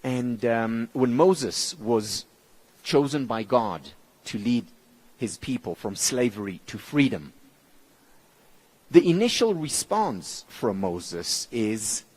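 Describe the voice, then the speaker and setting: A man whose mother tongue is Hebrew gives a motivational conference talk in English, with measured, emphatic phrasing and deliberate pauses. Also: breathy edge, low-quality recording. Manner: lively, expressive pitch, dropping low on key words. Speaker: keynote speaker.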